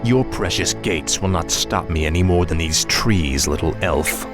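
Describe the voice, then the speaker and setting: A man's voice: low voice, unhurried, music in the background. An actor playing a cold, arrogant male death knight, a fallen prince, in a video game cutscene.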